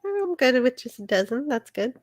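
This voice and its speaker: A woman's voice: sits high, big pitch swings, moderate pace. A woman co-hosting a casual chat, giving brief, agreeable replies. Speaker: co-host and guest reader.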